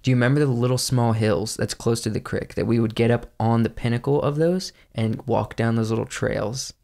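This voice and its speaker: A young man with a slight Southern accent and a gravelly, fry-edged voice, chatting easily on a podcast. He sounds relaxed and talkative, recorded close on a studio mic in a small room. His pitch sits right in the middle for a man. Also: a little fast. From Pennsylvania, United States. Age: young adult. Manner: relaxed, chatty, laughs often. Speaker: podcast co-host. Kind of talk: casual chat. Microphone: studio mic on boom arm.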